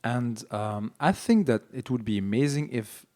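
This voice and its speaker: A man's voice: mid-range voice, moderate pace, warm tone. A man in a casual panel discussion who speaks hesitantly, with many fillers and restarts. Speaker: podcast panelist.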